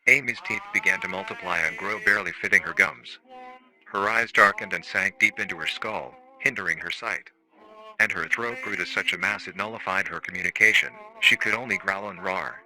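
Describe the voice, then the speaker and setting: Man acting as deep, bright-voiced sinister training-tape narrator. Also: fairly quick, soft background music, rough recording. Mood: clinical, detached. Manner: formal, euphemistic, matter-of-fact about grim details. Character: calmly chilling male research-facility narrator of a staff training tape. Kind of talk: scripted analog horror instructional tape narration.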